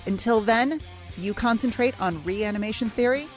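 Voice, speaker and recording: A woman's voice: on the high side, normal speed, faint music behind. A woman chatting casually as a podcast co-host, informal and amused in manner. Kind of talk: casual chat about a TV show.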